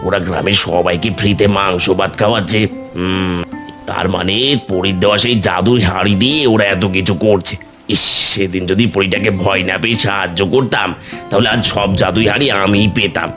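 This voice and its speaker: A man's voice: deep voice, steady tone, brisk, music in the background, natural voice. A man voicing a suspicious, greedy village uncle in a children's fairy tale cartoon, exclaiming and repeating his words. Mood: greedy scheming.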